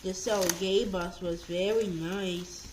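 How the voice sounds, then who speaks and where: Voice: medium pitch, steady tone, takes it slowly, a little mellow. Presenter in a noisy, clicky home recording. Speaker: presenter of an unboxing video. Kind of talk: casual unboxing vlog talk.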